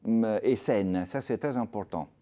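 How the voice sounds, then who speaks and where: An older man from Italy with an Italian accent, a restaurateur telling stories in a TV interview, halting with many fillers. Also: lowish voice, dark tone. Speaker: restaurateur guest. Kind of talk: TV interview, anecdotal.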